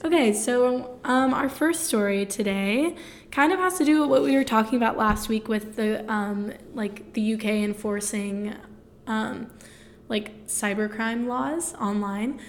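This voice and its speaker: A woman's voice: a little high, a little slow, slight background noise. A young woman co-hosting a podcast, retelling a news story in a chatty way full of fillers. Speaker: podcast co-host. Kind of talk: casual news chat.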